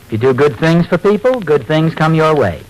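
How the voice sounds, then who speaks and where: High-pitched, earnest sitcom husband. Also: male voice, very animated, brisk. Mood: earnest, amiable. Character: earnest, mild-mannered husband, amiable homespun philosopher. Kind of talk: 1940s radio sitcom acting. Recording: noisy vintage radio recording.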